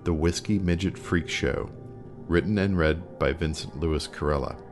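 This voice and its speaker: A man's voice: sits low, normal speed, faint music behind. A man working as a podcast announcer, reading scripted introductions.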